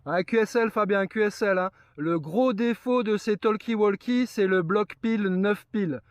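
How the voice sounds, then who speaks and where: A man's voice: high-pitched, moderate pace, background noise. A man chatting casually and explaining things as a radio operator. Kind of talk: casual radio contact chat.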